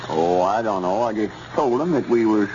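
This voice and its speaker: A muffled vintage radio comedy recording carries an actor as a self-important country storekeeper. He is a man with a rural Arkansas accent and a folksy, wordy way of talking, set fairly low. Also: moderate pace, a little mellow, slight background noise, close to an everyday voice. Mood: proud. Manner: folksy, long-winded drawl. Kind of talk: 1930s radio comedy acting.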